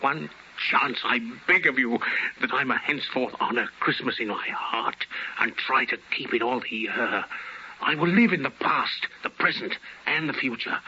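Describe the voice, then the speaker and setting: A man playing a repentant old miser with theatrical, halting, imploring delivery in 1950s radio drama style. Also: mid-range voice, sharp tone, husky, voice pushed down. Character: frightened, repentant old miser Scrooge pleading, later giddy. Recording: muffled old radio recording, music behind.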